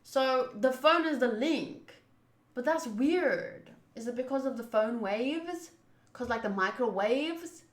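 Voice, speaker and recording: A woman streaming a visual novel, reading text aloud and thinking out loud in an animated, rambling way. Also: high-pitched, slow pace. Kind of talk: reading game text aloud with casual commentary. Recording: roomy echo, faint background noise.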